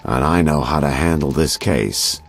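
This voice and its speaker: A man's voice: extremely low pitch, normal speed, faint music behind. A man playing a seasoned, self-assured detective in a video game, talking with condescending confidence. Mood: assured, defensive.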